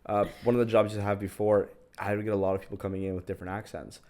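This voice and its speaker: A man's voice: on the low side, level tone, rapid-fire, darkish tone. A man co-hosting a podcast in casual chat, joking around and restarting his sentences as he asks things. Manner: joking, stammers and restarts while asking. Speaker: podcast co-host.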